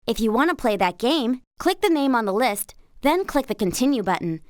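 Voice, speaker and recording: An actress playing a cheerful, helpful young woman horse rider, guiding a video game player in a clear, upbeat, encouraging way. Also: on the high side, big pitch swings, normal speed, dry recording, studio quality. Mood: friendly, instructive. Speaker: game lead character and tutorial guide. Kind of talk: scripted video game instruction and dialogue.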